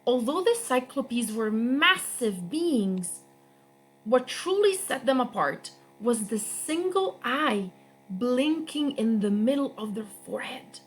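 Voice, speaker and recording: Slow, lively woman reading a story aloud. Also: low-quality recording. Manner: slow, expressive read-aloud with lively pitch. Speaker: guest reader.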